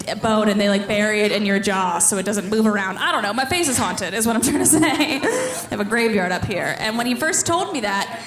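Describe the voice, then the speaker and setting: A woman's voice: medium pitch, expressive, fairly quick, crowd noise. A young woman from Ohio doing a stand-up comedy set with dry, self-deprecating delivery, laughing at her own jokes. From Ohio, US Midwest. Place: comedy club stage. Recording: echoey club room, noisy audience. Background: audience laughter.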